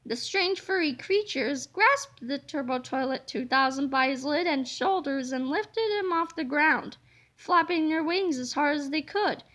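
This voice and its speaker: Read-aloud narrator: woman with a very high, bright voice, telling a children's story expressively. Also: unhurried.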